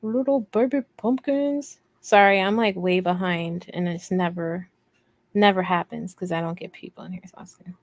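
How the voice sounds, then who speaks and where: A woman with a soft voice, hosting a casual craft livestream in a rambling, playful, self-deprecating way as she answers viewer comments. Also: on the low side, fairly even intonation, normal speed, no room sound. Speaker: host of a crochet livestream.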